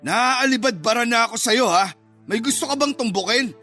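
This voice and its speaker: Man acting hostile high-pitched father, curt and sharp. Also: animated, normal speed, soft background music, heavily acted. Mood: cold, irritated. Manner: curt, confrontational. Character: hostile, cold father of a grown son. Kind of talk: radio drama acting.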